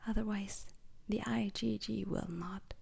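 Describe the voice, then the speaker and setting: Woman, breathy whisper, slow pace, flat intonation, ASMR roleplay lecture, calm formal instructor, deliberate pauses. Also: mid-range voice. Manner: slow, deliberate, pausing between short phrases. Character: calm, formal instructor briefing space crew trainees.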